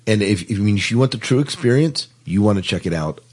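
A man's voice: on the low side, animated, fast talker, husky. A man co-hosting a podcast in a casual, chatty style. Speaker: podcast co-host.

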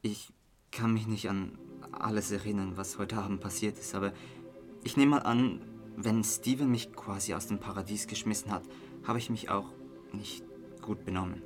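A man's voice: lowish voice, steady tone, normal speed, over music, natural voice. An actor with a Swiss German accent playing a drunk, remorseful young man in a radio drama. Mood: earnest, apologetic. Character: drunk, remorseful young man confiding in his best friend.

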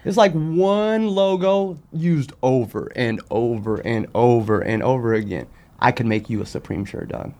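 A man's voice: mid-range voice, measured pace, hardly any pauses, mellow. An American man in his thirties chatting casually as a podcast guest, blunt and slangy, often repeating himself. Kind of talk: casual chat.

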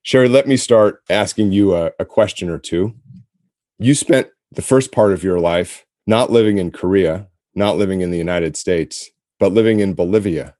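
Deep, mellow-voiced male podcast host. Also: no room sound, clean studio sound. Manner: measured, formal interviewer. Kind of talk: scripted podcast hosting. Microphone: remote call-in setup.

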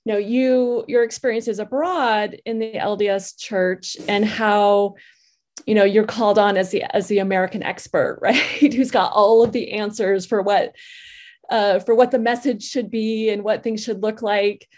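A woman guides a conversation in a mid-range voice, pausing and restarting often as she frames her thoughts. Her delivery is casual and exploratory. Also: mid-range voice, steady tone, darkish tone. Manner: halting, searching for words. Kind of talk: conversational discussion.

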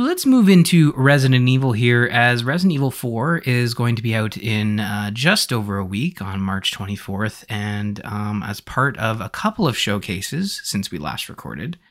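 A man hosting a podcast in casual conversation, talking in an animated, rambling way as he thinks aloud. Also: on the low side. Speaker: podcast host.

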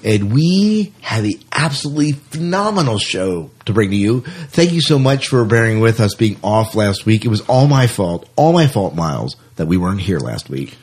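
Husky-voiced man hosting a chatty podcast. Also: fairly low pitch, big pitch swings, moderate pace, hardly any pauses, a little mellow. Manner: enthusiastic, rambling with fillers. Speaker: podcast host. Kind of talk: casual podcast chat.

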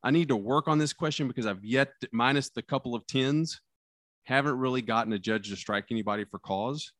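A man who is a criminal defense lawyer talking casually about trial practice, with a loud, carrying voice, acting out courtroom lines and dropping his voice low for effect. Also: a little fast, no room sound, studio quality. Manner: animated storyteller, acts out courtroom lines, drops voice low for effect.

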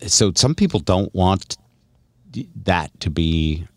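A man's voice: sits low, lively intonation, takes it slowly, no room sound. A man co-hosting a podcast with casual commentary and dry, wry humor. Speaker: radio show podcast co-host.

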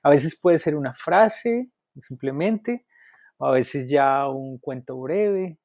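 Guy talking slow and halting, lotsa fillers, dry close sound. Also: on the high side, lively intonation, darkish tone, no echo. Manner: hesitant, many fillers and restarts. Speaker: writing workshop leader. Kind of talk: conversational interview answer.

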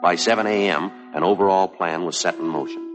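An American man playing a stoic police detective, narrating a 1950s crime drama in a clipped, deadpan, factual way. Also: low-pitched, a little fast, lightly acted. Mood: flat, businesslike. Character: stoic, businesslike male police detective sergeant narrating cases. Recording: 1950s TV soundtrack with music behind.

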